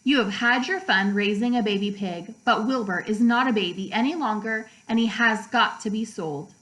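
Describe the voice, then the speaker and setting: A woman's voice: on the high side, normal speed, a bit bright, close to an everyday voice, poor audio. Firm farmer father, read by a woman, practical and plain-spoken, children's storybook.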